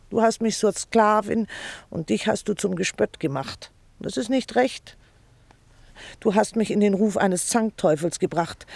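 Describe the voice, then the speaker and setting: Scolding wife, indignant, self-pitying, theatrical radio drama, gravelly creak, dry close sound. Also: female voice, fairly low pitch, darkish tone, no room sound. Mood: indignant, reproachful. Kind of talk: theatrical German radio play acting.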